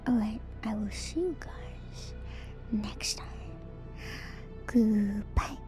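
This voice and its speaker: A woman streamer giving a gentle, soothing ASMR chat in a soft, breathy whisper, close to the microphone. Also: medium pitch, extremely slow, music in the background. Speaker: streamer and host. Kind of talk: ASMR livestream sign-off chat.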